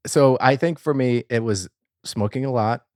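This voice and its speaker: A man's voice: medium pitch, moderate pace, a little mellow, dry recording, studio quality. A man co-hosting a casual podcast, talking in a laid-back way with lots of "like". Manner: laid-back, says "like" often. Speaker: podcast co-host. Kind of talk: casual chat. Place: cigar lounge.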